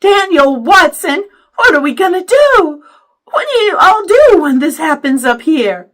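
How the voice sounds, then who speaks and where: A woman's voice: extremely high pitch, sing-song, a little slow, fairly bright tone, voice pushed up, heavily acted. A woman voicing a worried, dramatic mother with a fretful Southern-style manner in an audiobook story. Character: worried mother, dramatic, tender, scolding when alarmed.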